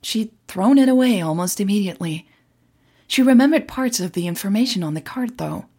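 A woman narrating scripted audio fiction as a podcast storyteller, in a measured, dramatic way. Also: mid-range voice, expressive, mellow, slightly rough.

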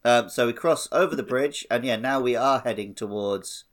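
A man's voice: mid-range voice, normal speed. A man co-hosting a podcast, talking in an easygoing, conversational way about a game walkthrough. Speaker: podcast co-host.

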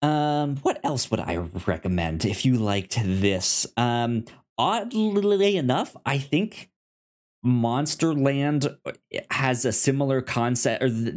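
A man's voice: mid-range voice, darkish tone. A man co-hosting a film podcast, talking casually and off the cuff, stammering and repeating the starts of words. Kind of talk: casual, unscripted recommendations.

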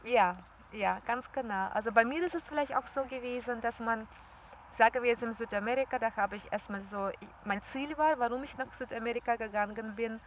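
A young woman tells stories in a lively, chatty way. Her voice sits a little high. A faint hum of outdoor noise runs underneath. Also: brisk. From former Soviet Union. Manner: lively, chatty storytelling with laughs. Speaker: interviewee. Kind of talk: casual conversation. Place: outdoors, birds nearby.

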